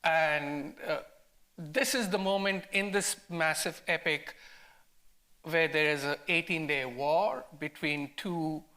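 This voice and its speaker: Bright-voiced, higher-pitched man with Indian accent. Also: slow pace. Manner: emphatic, repeats words as he formulates ideas. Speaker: film director, panel guest. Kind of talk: academic post-screening discussion.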